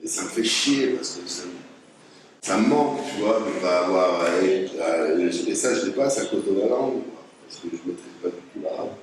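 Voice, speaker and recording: Low-voiced man, frank and halting. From Tunisia. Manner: halting, many restarts, blunt and frank. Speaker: artist being interviewed. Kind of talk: casual conversation. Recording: echoey, distant mic, noisy background.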